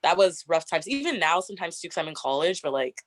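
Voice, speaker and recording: Deep raspy young woman, chatty. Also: very animated, quick pace. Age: 20s. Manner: chatty, frequent "like" fillers, laughs often. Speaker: interview guest. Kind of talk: casual get-to-know-me interview.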